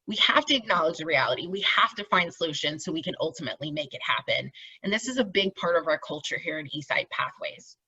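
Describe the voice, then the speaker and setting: Female meeting facilitator, middle-aged, lowish husky voice, encouraging and explanatory, heard on dull conference-call audio. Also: expressive, fast, bright, forceful tone, far from the mic. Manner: encouraging, explanatory, often checks in with "right?". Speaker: meeting facilitator, team lead. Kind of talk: informal presentation on a group call.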